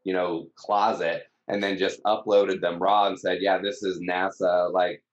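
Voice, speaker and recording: Man, deep voice, casual conversational explanation, slightly lo-fi dull audio. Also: flat delivery, measured pace. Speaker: startup team member, search specialist.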